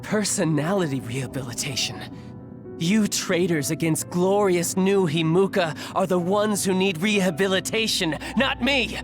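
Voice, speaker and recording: A man's voice: high-pitched, moderate pace, lightly acted. A man playing an unhinged, brainwashed young fanatic in an anime game, taunting and theatrical. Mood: scornful, fanatical. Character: unhinged brainwashed young male friend turned fanatical enemy. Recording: game music behind the voice.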